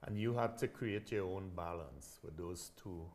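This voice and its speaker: Low-voiced male talk show host. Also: normal speed, a little mellow. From Trinidad. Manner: laughs often, encouraging backchannels. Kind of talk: community TV interview.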